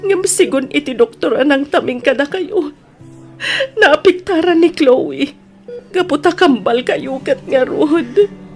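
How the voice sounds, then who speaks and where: A woman's voice: high-pitched, unhurried. A woman playing a loving, anguished mother in a radio drama, with a plaintive, emotional delivery. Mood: pained, pleading. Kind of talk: tearful radio drama acting. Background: music.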